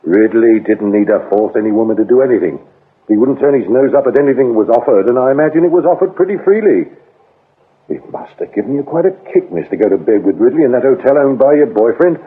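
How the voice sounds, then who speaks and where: An actor playing a shrewd, scornful British police inspector interrogating in a radio drama, sardonic and accusing. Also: male voice, medium pitch, slightly rough. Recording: lo-fi, narrow-band radio drama recording.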